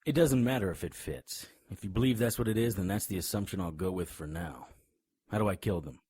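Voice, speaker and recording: A very crisp, low-pitched male voice for a hard-boiled noir monster hunter in an audio drama. He is brooding and world-weary, telling his story in deliberate, clipped phrases. The sound is dry and close. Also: dark tone, no room sound. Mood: skeptical, terse.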